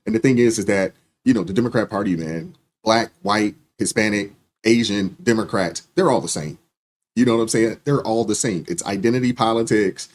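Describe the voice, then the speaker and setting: A man hosting a reaction channel, talking relaxed commentary and hedging with "you know" and "um". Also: fairly low pitch, normal speed. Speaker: channel host reacting to clips.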